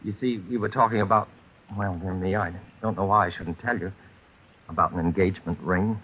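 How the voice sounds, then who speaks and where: A man's voice: low-pitched, fairly even intonation, brisk, husky. An actor playing a fussy, prim, mild-mannered man in a vintage radio suspense drama. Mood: flustered. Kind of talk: 1940s radio suspense acting. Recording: dull, slightly noisy vintage radio recording.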